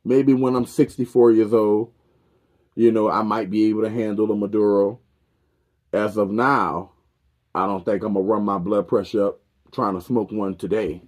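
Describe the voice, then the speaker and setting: A man's voice: lowish voice, fairly even intonation, moderate pace, darkish tone. A man in his thirties talking casually about beer and cigars, loose and repetitive, swearing and drifting into preachy life advice. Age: 30s. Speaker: vlogger reviewing beer and cigars. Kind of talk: casual rambling monologue.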